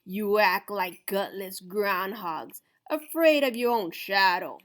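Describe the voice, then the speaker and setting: A woman acts out a grumpy prairie dog bully from a storybook. He booms, growls and snarls his orders, mean and bossy. Light music plays along. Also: takes it slowly, a bit bright. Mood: gruff, scornful.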